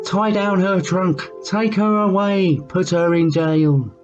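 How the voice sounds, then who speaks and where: A dull recording with music behind. An angry circus ringmaster acted out by a man gives commands in a high-pitched, storybook style. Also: fairly even intonation, slow. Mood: alarmed, commanding. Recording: muffled lo-fi sound with music behind.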